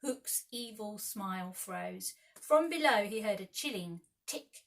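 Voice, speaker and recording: A woman narrating a children's storybook aloud with expressive, deliberate dramatic phrasing. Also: medium pitch, creaky. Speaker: primary school teacher reading aloud.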